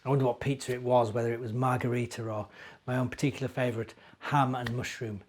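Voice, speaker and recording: A man's voice: medium pitch, level tone, fast talker. A middle-aged man with a British accent, a minister telling stories to young children in a chatty way, with simple explanations and questions. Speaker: church minister and storyteller.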